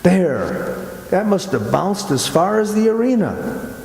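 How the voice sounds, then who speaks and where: Hesitant, creaky-voiced actor as a musing man, echoing hall. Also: high-pitched, big pitch swings, slow, noisy background, heavily acted. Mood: musing, playful. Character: uneasy, imaginative man sightseeing at dusk with his sweetheart. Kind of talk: amateur radio play acting. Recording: echoey hall with long reverb.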